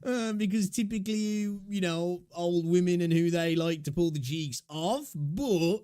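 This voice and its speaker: A man's voice: sits high, unhurried, dark tone, clean tone, no echo, clean studio sound. A man chatting off the cuff as a live stream reactor, informal and musing aloud. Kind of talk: casual off-the-cuff reaction chat.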